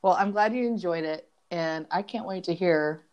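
Woman co-host, low-ish voice, easy laugh, earnest in casual talk. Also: normal speed, a little mellow. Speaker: podcast co-host. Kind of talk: casual chat.

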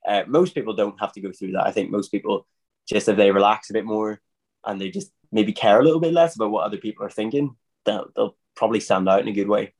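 A man, a magician talking in a relaxed, animated podcast chat and laughing often. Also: lowish voice, quick pace, husky.

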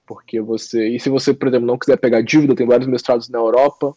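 A man's voice: medium pitch, flat delivery, quick pace, warm tone, no room sound. Man, young adult, hesitant and reflective, dry, muffled remote recording. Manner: reflective, hesitant with many restarts. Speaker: podcast guest. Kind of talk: casual interview answers. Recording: dull lo-fi dry sound.

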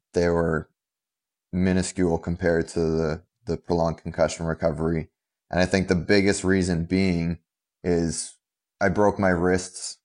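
A young man, a former athlete, answering interview questions in a measured, methodical way. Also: sits low, darkish tone.

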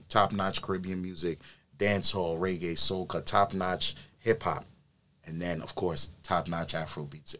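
A man who grew up in New York, an event planner chatting casually on a podcast, telling his story with plenty of ums and likes. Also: sits low. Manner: relaxed storytelling with many fillers.

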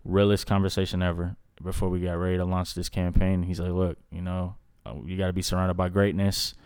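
A man in his twenties with a deep baritone voice, a guest chatting casually in an interview, rambling with many fillers. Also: flat delivery, brisk, a little mellow, clean tone, no room sound. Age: 20s. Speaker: guest, recording artist.

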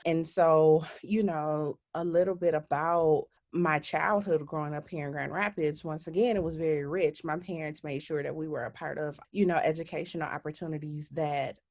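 A woman's voice: sits low, fairly even intonation, normal speed, few pauses, darkish tone. A woman, a guest telling her story earnestly in an interview. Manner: earnest, reflective.

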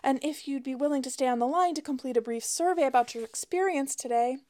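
Audiobook role: woman reader as saccharine call-centre lady, high-pitched, scripted and courteous. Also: fast talker, darkish tone, close to an everyday voice. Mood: nervously polite. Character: syrupy-sweet, polite female customer service representative.

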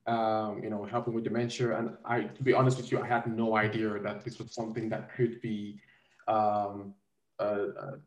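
A man's voice: on the low side, flat delivery, moderate pace. Echoey, distant male interviewer from Cameroon. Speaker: interviewer, host. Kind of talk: casual conversational interview. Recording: echoey room, distant from mic.

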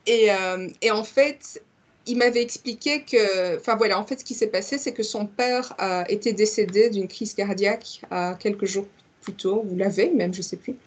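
A woman co-hosting a podcast, telling a story in a lively, chatty way with many fillers. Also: medium pitch, moderate pace. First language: multilingual, grew up in Congo and Belgium. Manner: animated storyteller, frequent fillers, laughs easily. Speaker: podcast co-host. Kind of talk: casual chat, telling an anecdote.

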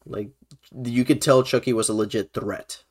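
A young man hosts a casual movie discussion. His voice sits at a middle pitch, and his delivery is informal and conversational. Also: steady tone, moderate pace. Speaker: podcast host. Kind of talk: casual chat about films.

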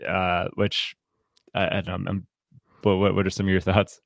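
Low-voiced man thinking aloud, halting. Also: little pitch movement, vocal fry, no room sound, clean studio sound. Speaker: podcast co-host and writer. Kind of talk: casual literary discussion.

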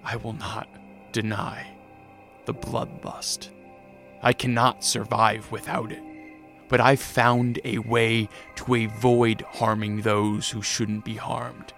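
Creaky-voiced brooding antihero. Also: male voice, lowish voice, flat delivery, slow, fairly bright tone, faint music behind, heavily acted. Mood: solemn defiance. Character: brooding, principled male bloodthirsty antihero. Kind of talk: radio drama acting.

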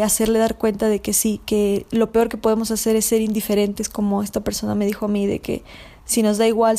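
A woman's voice: a little high, dark tone. A woman speaking in a thoughtful, hesitant way in a casual discussion, often repeating words as she thinks.

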